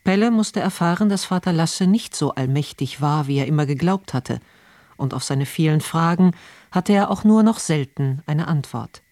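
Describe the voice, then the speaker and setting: Radio guy reading scripted intro, highish male voice, close dry mic. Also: expressive, moderate pace, a little mellow, dry recording. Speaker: presenter introducing a radio drama. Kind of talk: scripted narration, recap. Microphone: close studio microphone.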